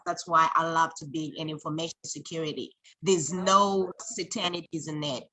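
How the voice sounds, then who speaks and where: A woman from Zambia speaks as an interview guest. Her voice sits fairly low and sounds bright and forceful, and she talks in an earnest, reflective way, often backing up to restart a sentence. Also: low-quality recording. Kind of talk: conversational interview.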